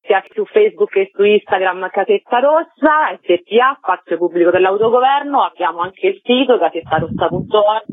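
A woman's voice: medium pitch, lively intonation, normal speed, hardly any pauses, no echo. A woman presenting events as a festival organizer in a radio interview. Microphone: telephone call. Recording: narrow phone line, dry.

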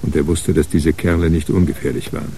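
A man acting as the measured narrator of a hard-boiled crime radio play. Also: rumbling low, level tone. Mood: measured, narrating. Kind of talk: scripted narration in a radio drama.

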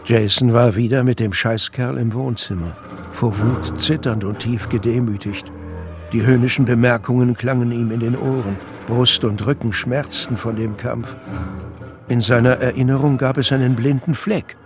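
A man's voice: medium pitch, fairly even intonation, moderate pace, over music. A man narrating a scripted radio drama.

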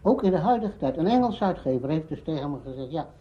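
Animated, higher-voiced male interviewee. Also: fast talker. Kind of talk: spontaneous interview commentary. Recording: dull lo-fi archive interview recording.

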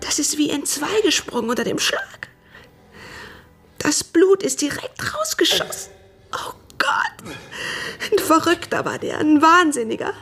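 An actress playing a frightened young housemaid in a radio drama, giving breathless, halting eyewitness testimony in colloquial speech. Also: female voice, high voice, very animated, fairly bright tone, faint music behind, exaggerated voice. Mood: horrified, agitated. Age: young adult.

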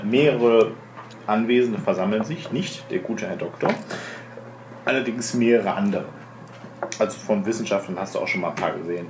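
A man narrating a tabletop role-playing game as game master, improvising descriptions with colloquial asides. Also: on the low side. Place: room with other players at a table. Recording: roomy, noisy group table recording.

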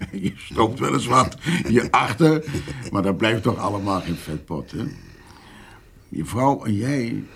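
Radio drama role: persuasive older farmer slowing down, creaky low voice, hearty, hesitant, wheedling. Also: male voice, lively intonation, a bit bright, slight background noise, exaggerated voice. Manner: hesitant, wheedling, full of small interjections. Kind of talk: naturalistic radio drama dialogue.

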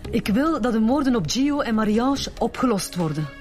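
A woman's voice: on the high side, animated, normal speed, a little mellow, natural voice. A woman with a Flemish accent playing a cooperative, determined bank employee in a radio drama. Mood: earnest. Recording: music behind the voice.

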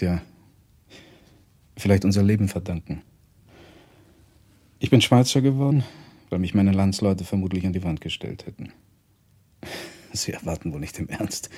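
An actor playing a blunt, proud émigré professor in a German radio play, deliberate and dryly reproachful. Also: male voice, low voice, darkish tone, slightly rough.

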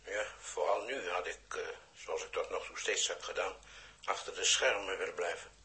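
Reserved behind-the-scenes authority,, deep, forceful, measured, vintage radio drama. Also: male voice. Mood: calm, decisive. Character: reserved, commanding male mastermind who stays behind the scenes. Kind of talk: 1960s Dutch science fiction radio play acting.

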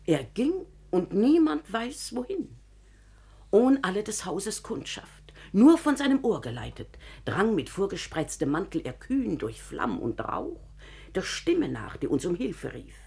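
An actress playing a devoted, excitable household companion in a classical German radio drama, with declamatory, emphatic storytelling. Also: female voice, medium pitch, a little slow, gravelly, breathy edge, full character voice. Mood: dramatic, troubled.

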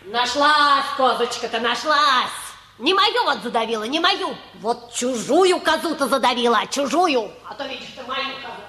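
A woman's voice: sits high, lively intonation, normal speed, sharp tone. An actress playing a nagging country woman in a Soviet radio play, rambling and repetitive in a folksy way. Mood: grumbling. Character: nagging, repetitive country woman fussing over her goat. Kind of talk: Soviet radio theatre acting. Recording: echoing hall, archival stage play.

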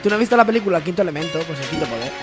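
A male co-host with a Spanish accent from Spain and a high-pitched voice. He trades casual, joking banter on a radio show while music plays clearly behind him. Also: expressive, very fast. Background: background music.

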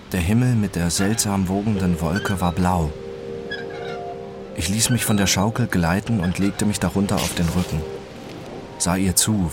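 A man playing a detached, obsessive first-person narrator in a radio drama, speaking in a measured, introspective way. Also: deep voice, close to an everyday voice. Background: music behind the voice.

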